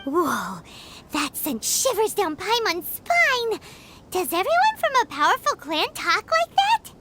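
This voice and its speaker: Tiny excitable fairy sidekick, very high, bright, swooping, unhurried. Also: female voice, background noise, full character voice. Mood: awed. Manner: squeaky, childlike, refers to herself by name. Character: small floating female fairy companion, excitable. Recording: game audio with music behind.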